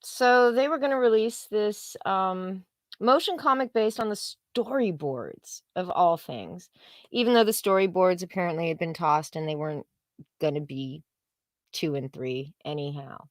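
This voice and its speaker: A woman's voice: fairly low pitch, moderate pace, dry recording, clean studio sound. A woman co-hosting a casual live-stream, giving opinionated commentary in a wry, sarcastic manner, often trailing off in fragments. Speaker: co-host of a comics commentary show.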